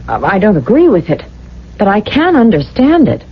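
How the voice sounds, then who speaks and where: An actress playing a tender, wistful wife from a regimented future society in a radio drama, speaking earnestly in short, halting phrases. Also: female voice, mid-range voice, wildly swooping pitch, a little hiss or hum. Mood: wistful, playful. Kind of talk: 1980s radio drama acting. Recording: dull vintage radio broadcast with music.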